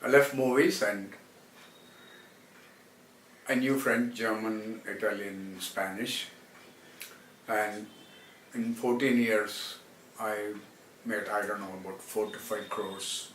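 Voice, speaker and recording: A man from Karnataka with a South Indian accent and Telugu as his first language, rambling to viewers about his own life in a halting way with repeated phrases. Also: on the low side, fairly even intonation, fairly bright tone. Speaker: translator and former film actor. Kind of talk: rambling autobiographical talk to viewers. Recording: roomy, distant mic, faint background noise.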